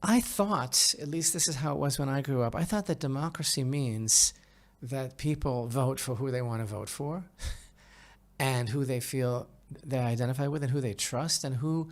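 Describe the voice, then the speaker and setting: A man giving conversational opinion commentary as a podcast host, halting and emphatic, repeating phrases and often checking in with the listener. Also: medium pitch.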